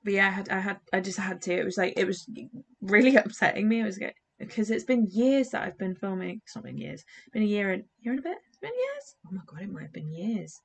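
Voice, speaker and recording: A woman's voice: medium pitch, big pitch swings, quick pace, vocal fry, slightly rough. A young English woman with an English accent, chatting casually and rambling with fillers while she works on a craft project at her desk. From England. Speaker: doll customizing vlogger.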